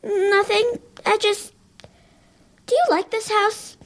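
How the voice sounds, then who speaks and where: A woman's voice: very high-pitched, fairly even intonation, slow, full character voice. A woman playing a timid, worried little schoolgirl in an audio drama, speaking hesitantly and plaintively. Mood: hesitant, uneasy.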